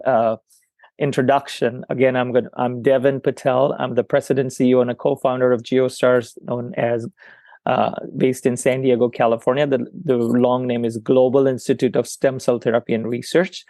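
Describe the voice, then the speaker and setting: A man's voice: medium pitch, level tone, normal speed, creaky, warm tone, no echo. A man who runs a company, a podcast interview guest speaking enthusiastically and promotionally with some fillers.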